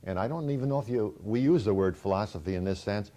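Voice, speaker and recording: A man's voice: fairly low pitch, fast talker, dark tone. A man hosting a TV program, reading phone numbers and interviewing a guest. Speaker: host.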